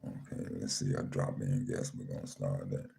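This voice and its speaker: Super deep gravelly guy running a live chat room, slow and calm, welcoming folks by name. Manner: slow, calm, repeats himself, reads names off a screen. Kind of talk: casual livestream chat, greeting listeners.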